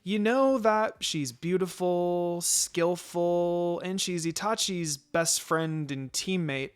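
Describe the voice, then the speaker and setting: A man's voice: high-pitched, slow pace, smooth. A man voicing a lovestruck, jealous teenage girl in a fan fiction story, with anxious delivery. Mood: jealous, upset. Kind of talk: fan fiction character dialogue.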